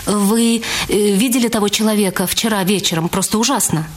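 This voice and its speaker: A woman playing an agitated, clinging young woman who pleads in halting, incoherent speech in a radio drama. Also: mid-range voice, natural voice. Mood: anxious, pleading.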